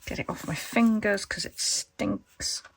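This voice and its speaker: A woman with a croaky voice, thinking aloud as she demonstrates a casual craft tutorial. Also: medium pitch, measured pace, a little hiss or hum. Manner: chatty, thinks aloud while working. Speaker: crafter demonstrating junk journal covers.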